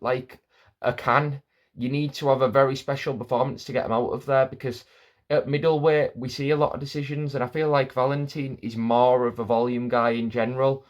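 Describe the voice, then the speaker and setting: A man giving casual fight predictions, rambling, restarting his sentences and packing in filler words. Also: medium pitch. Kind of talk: casual fight-pick commentary.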